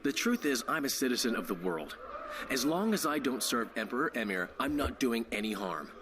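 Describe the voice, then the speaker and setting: An actor playing a flamboyant bard who dabbles in spying, theatrical and self-justifying in a video game cutscene. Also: male voice, mid-range voice, very animated, fairly quick, noisy background, natural voice. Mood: defensive. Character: vain, flamboyant male bard and amateur spy.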